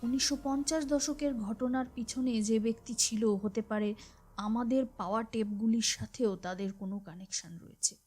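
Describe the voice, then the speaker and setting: A woman reading as a grave, mellow-voiced police officer. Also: moderate pace, lightly acted. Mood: urgent, intent. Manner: low-key, weighty, urgent. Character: grave, composed male police officer, steadfast detective partner. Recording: voice over a music bed.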